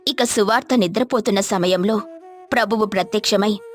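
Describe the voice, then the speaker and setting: A woman's voice: medium pitch, brisk. A woman narrating a scripted moral story as a storyteller.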